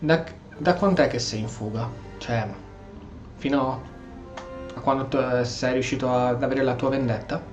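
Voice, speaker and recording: A man's voice: mid-range voice, steady tone, normal speed. An Italian man streaming a role-play game, voicing the dialogue choices and commenting as he goes. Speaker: gameplay streamer. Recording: slightly dull, game music faintly behind. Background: game music.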